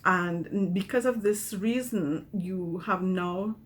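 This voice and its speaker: A woman, a teacher explaining things calmly in a video message from home. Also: on the low side, slow. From Trinidad and Tobago. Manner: calm, explanatory, with fillers. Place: small room at home, indoors. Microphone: webcam, video call.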